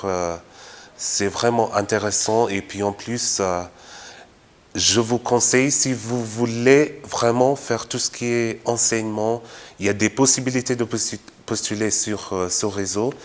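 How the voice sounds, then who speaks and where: A young man from Kenya, a former language assistant, speaking in a testimonial with many fillers and restarts. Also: on the low side. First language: English. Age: young adult. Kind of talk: testimonial talk to camera.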